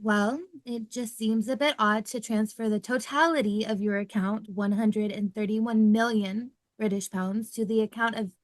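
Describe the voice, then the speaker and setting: A woman's voice: medium pitch, steady tone, moderate pace, few pauses, no echo, lightly acted. A woman playing a reluctant, by-the-book bank clerk in a comedy table read, formal and hesitant. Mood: disapproving.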